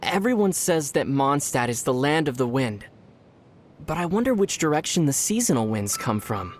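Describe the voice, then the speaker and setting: An actor playing a calm, dry young male traveler from other worlds in a video game. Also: on the high side, moderate pace, a little hiss or hum. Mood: wry. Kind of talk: video game dub dialogue. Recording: game audio with soft music behind.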